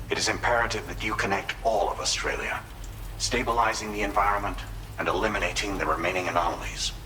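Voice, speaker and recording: Actor as low-voiced, formal commanding official. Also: male voice, noisy background, close to an everyday voice. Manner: formal, measured. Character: composed, commanding male official giving orders and praise. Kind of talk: video game dialogue.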